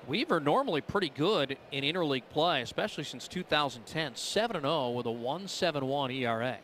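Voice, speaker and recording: Higher-voiced male baseball play-by-play announcer, noisy. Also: lively intonation, brisk, a bit bright. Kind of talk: live baseball commentary.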